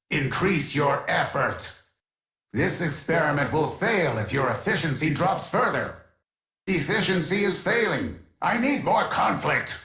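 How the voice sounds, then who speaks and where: A man's voice: on the high side, fairly bright tone, heavily acted. A man voicing a cold, imperious keeper of a data sanctuary in a science-fiction game, formal, clinical and commanding, with echoing processing on the voice. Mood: urgent, impatient. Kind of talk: scripted video game character voice acting.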